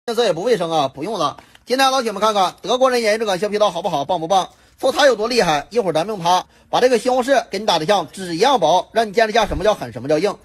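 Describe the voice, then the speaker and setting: A man pitching a kitchen peeler in a punchy, boastful sales patter. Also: animated, very fast, hardly any pauses, breathy edge. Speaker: product demonstrator.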